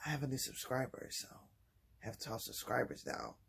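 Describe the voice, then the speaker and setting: Soft, halting man with a gravelly voice. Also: wildly swooping pitch, slight background noise. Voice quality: low voice. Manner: soft-spoken, halting, many pauses and restarts. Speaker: vlogger. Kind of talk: personal vlog, reflective talk.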